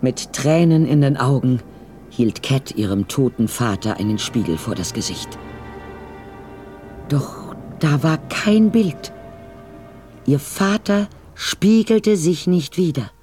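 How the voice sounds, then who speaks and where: A man's voice: medium pitch, expressive, slow pace, darkish tone. A man narrating a scripted German audio drama in a dramatic storytelling manner. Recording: faint music behind.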